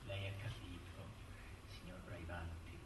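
An actor playing an insistent, insinuating lawyer in a theatrical cross-examination, pointed, formal and sardonic. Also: male voice, high voice, big pitch swings, moderate pace. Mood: hushed, insistent. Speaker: stage actor. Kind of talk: theatrical courtroom interrogation. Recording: roomy stage sound, distant mic, faint noise.

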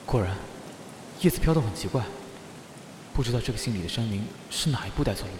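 Proud young spirit male actor, animated, easily flustered grumbler, roomy echo with music behind, fantasy audio drama. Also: fairly low pitch, quick pace, background noise, natural voice. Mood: wary, puzzled. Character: proud, prickly young male bird spirit in human form.

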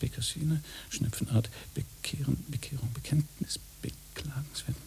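A man's voice: medium pitch, steady tone, moderate pace, creaky, a little mellow, whispered, lightly acted. An actor playing a vain, pushy fake policeman in a German radio play, pompous, lecherous and pedantic. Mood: pedantic, amused.

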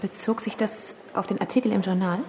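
A woman's voice: mid-range voice, fairly even intonation, fairly quick, a little mellow, lightly acted. An actress playing a sceptical female prosecutor in a radio drama, putting direct, probing questions.

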